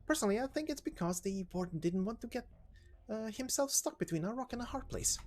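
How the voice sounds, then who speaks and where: A woman voicing a sharp, talkative, teasing woman who explains things in an emphatic, knowing way in a game story reading. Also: a little high, lively intonation, quick pace, close to an everyday voice. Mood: explaining. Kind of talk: voiced visual novel story reading.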